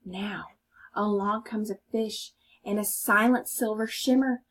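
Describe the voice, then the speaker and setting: A woman's voice: mid-range voice, steady tone, a little slow. A woman reading a children's story aloud and teaching as she goes, explaining words and asking questions. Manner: instructive, inviting listeners to think.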